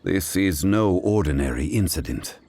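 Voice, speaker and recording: A man voicing a calm, erudite and dignified gentleman in a video game, with formal, measured phrasing. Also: sits low, a little hiss or hum. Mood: grave, composed. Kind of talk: English video game voice acting. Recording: studio voice under game music.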